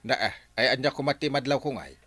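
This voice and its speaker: Man playing lively, bright-voiced village captain, Ilocano accent. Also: mid-range voice, fast talker, faint music behind, lightly acted. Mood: puzzled. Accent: Ilocano Filipino. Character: earnest, peacemaking male village captain. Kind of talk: radio comedy-drama acting.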